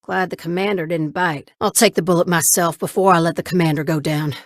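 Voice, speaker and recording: A woman's voice: lowish voice, fairly even intonation, fairly quick, no echo, studio quality, lightly acted. An actress voicing a spirited young woman ship pilot and mechanic in a video game, with casual, familiar, folksy banter. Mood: resolute, frank. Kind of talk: video game character dialogue.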